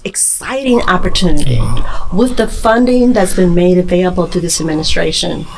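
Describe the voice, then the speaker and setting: A woman's voice: on the low side, moderate pace, a little mellow, slight background noise, rough recording. A woman speaking as a panel guest, laying out her points step by step with emphasis in an earnest discussion. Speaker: panel guest, child and parent advocate.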